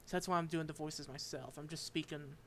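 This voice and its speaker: A man streaming a game, reading its dialogue aloud and commenting, halting and stumbling over unfamiliar names. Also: on the high side, steady tone, a bit bright, a little hiss or hum.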